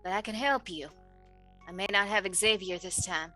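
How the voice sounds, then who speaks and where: A woman's voice: mid-range voice, normal speed, sharp tone, soft background music, natural voice. A woman voicing a wry, teasing but caring female superhero in a tabletop roleplaying game, speaking as an old friend. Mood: wry, reassuring. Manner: wry, reassuring.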